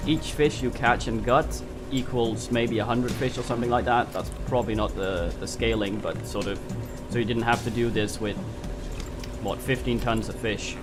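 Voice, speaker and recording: A man's voice: mid-range voice, steady tone, normal speed. A man with a slight sniffle, casually thinking aloud while streaming a game from home. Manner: thinking aloud, rambling and musing. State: a little sniffly from a cold. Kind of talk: casual live gameplay commentary. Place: at home at his computer. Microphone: desk microphone. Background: game music.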